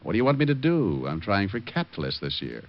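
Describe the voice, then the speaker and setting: An actor playing a wisecracking, flippant private detective in an old-time radio drama. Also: male voice, fairly low pitch, huge pitch swings, fast talker. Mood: rueful, joking. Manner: wry, flippant, slangy. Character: wisecracking, sharp-witted male private detective. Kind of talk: 1940s radio acting. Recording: dull old radio recording.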